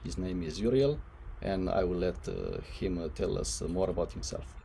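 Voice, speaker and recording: A man hosting a webinar, giving a formal, somewhat halting introduction. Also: low-pitched, lively intonation, vocal fry, warm tone. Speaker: webinar host. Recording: noisy line with background ambience.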